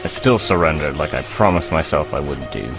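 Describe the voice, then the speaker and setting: An actor playing a proud, defiant leader of rebel fighters in an audio drama, stubborn and intense. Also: male voice, extremely low pitch, lively intonation, fairly quick. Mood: grudging respect. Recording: loud dramatic music underneath.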